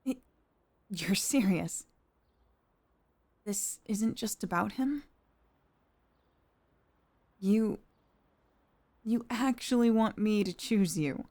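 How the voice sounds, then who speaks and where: Slow, wounded young woman, acted out by a woman. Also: mid-range voice, mellow. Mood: bitter, pleading. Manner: halting, sighing, emotionally wounded delivery. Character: distressed young woman employee cornered by her boss. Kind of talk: dramatic ASMR roleplay monologue.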